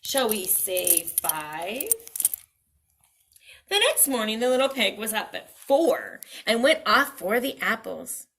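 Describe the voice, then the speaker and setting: A woman's voice: fairly high pitch, lively intonation, normal speed, vocal fry, hardly any pauses, a bit bright. A woman reading a children's story aloud with dramatic expression, speaking directly to young listeners.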